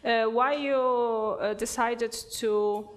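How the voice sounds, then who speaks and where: A woman's voice: on the high side, fairly even intonation, very slow. A woman interviewing guests at a conference, asking short prompting questions. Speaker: interviewer, TV magazine host. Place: conference venue, indoors.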